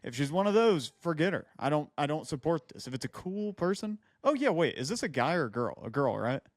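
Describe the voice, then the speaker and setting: A man's voice: fairly high pitch, very animated, a little fast, no room sound. Playful man riffing, very dry sound. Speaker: podcast co-host. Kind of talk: casual podcast banter.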